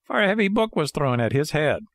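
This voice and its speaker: Man doing a high-voiced, inquisitive old villager. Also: big pitch swings, a little fast, no room sound, clean studio sound. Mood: grave. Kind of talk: storybook character voice in audiobook narration.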